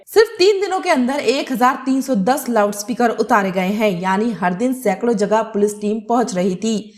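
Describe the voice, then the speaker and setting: A woman narrating a scripted news report as a news presenter. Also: medium pitch.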